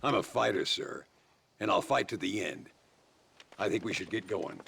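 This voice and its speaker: An actor playing a grizzled, folksy old gunslinger with an American accent and a wordy, theatrical drawl in a western game. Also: male voice, lowish voice, expressive, normal speed, fairly bright tone, a little hiss or hum, heavily acted. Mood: defiant. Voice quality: gravelly. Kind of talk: western video game acting.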